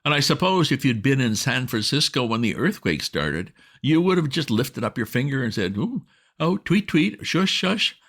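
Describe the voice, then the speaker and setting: A man voicing a flustered, excitable young gentleman who bursts out in indignant exclamations in a storybook reading. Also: mid-range voice, voice pushed up. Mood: sarcastic. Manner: exclamatory, indignant, rapid outbursts.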